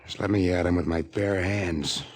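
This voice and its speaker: An actor playing a vengeful, tough crewman, gruff and belligerent, in 1950s radio drama style. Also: low voice, normal speed. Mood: angry.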